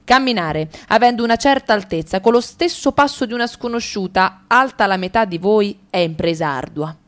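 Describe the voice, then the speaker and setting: A woman with an Italian accent reading a radio drama in a lively, ironic way. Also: medium pitch, normal speed.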